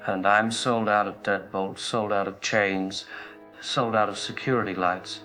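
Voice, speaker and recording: A man's voice: lowish voice, sharp tone. An actor playing a male hardware store owner who calls a radio show and talks bluntly and practically. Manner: blunt, practical, matter-of-fact. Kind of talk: audio drama acting.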